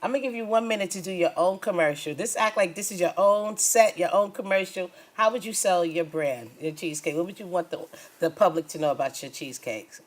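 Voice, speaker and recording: A woman's voice: fairly low pitch, a little fast. A woman hosting a local interview show and speaking to viewers. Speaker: TV show host.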